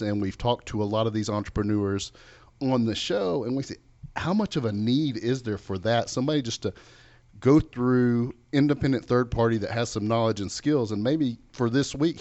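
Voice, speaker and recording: A man's voice: medium pitch, normal speed, darkish tone, muffled recording. A man hosting a conversational local business radio interview in an informal, bantering way. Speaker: radio show host.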